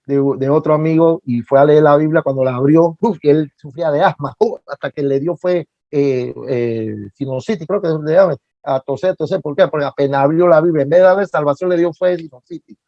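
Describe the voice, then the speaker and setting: A man giving an informal religious lecture as a catechist, speaking haltingly, repeating words for emphasis, with folksy asides. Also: on the high side, dry recording. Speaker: catechist giving a virtual talk. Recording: dull lo-fi online-talk audio.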